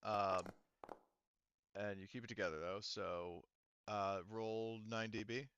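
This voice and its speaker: A man narrating a tabletop game casually as game master, in an animated, informal way with some swearing. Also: fairly low pitch, takes it slowly, muffled recording, slight background noise.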